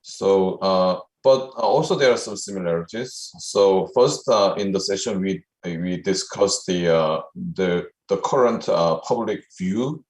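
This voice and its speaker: A man chairing a conference session, summarizing the discussion hesitantly with many pauses and restarts. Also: low voice, darkish tone, roomy sound, low-quality recording.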